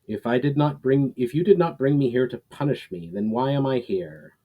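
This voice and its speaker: A man's voice: mid-range voice, lively intonation, normal speed, lo-fi sound, lightly acted. A man voicing a weary, repentant fallen warlord in a fantasy story, with formal, earnest, world-weary phrasing. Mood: questioning.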